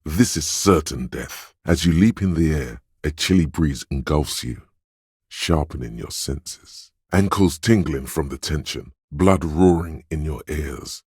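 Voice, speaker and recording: A man voicing a slick, suave inner voice that narrates a game in the second person, measured and wryly theatrical. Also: darkish tone, no echo, clean studio sound, heavily acted. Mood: sly, goading. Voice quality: very deep, husky.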